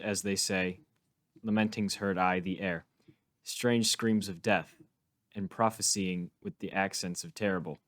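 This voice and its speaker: A man playing an uneasy, courteous young nobleman, reciting Shakespeare in a measured, solemn way. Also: lowish voice, no room sound, clean studio sound, lightly acted. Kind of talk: dramatic reading of Shakespeare.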